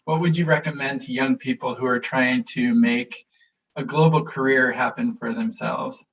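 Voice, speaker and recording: A man's voice: medium pitch, steady tone, moderate pace, fairly bright tone. Distant muffled man moderating. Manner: friendly, brief prompts and thanks. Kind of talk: moderating a panel on a video call.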